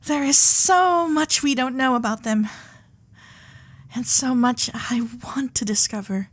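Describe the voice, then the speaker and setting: A woman playing a shy, starry-eyed young Gilded Age heiress in an intimate romantic ASMR roleplay, breathy and often whispering, with genteel, hesitant manners. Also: high-pitched, lively intonation. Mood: dreamy, excited. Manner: genteel, old-fashioned politeness, hesitant pauses.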